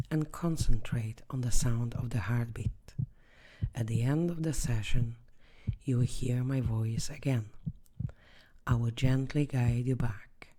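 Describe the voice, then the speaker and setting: A meditation guide speaking softly and soothingly in short, measured phrases, often dropping close to a whisper. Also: mid-range voice.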